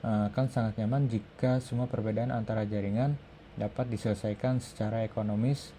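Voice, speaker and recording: Low-pitched, dark-voiced Indonesian man presenting haltingly. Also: fairly even intonation, a little hiss or hum. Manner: halting, hesitant delivery. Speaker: presenter of an article review. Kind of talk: scripted academic presentation, read aloud.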